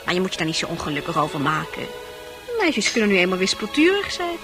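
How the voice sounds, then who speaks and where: A woman's voice: lowish voice, quick pace. Flirty forward barfly woman in a radio play, swoops up and down, music behind. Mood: consoling. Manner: familiar, coaxing, expressive. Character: forward, coaxing female bar regular. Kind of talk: Dutch radio drama dialogue.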